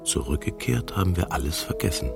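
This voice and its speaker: An actor playing a weary, stubborn middle-aged husband in a radio drama, husky and creaky, terse and sardonic. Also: male voice, sits low, big pitch swings, fairly quick, over music, heavily acted. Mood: weary, reflective. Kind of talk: intimate modern radio drama dialogue.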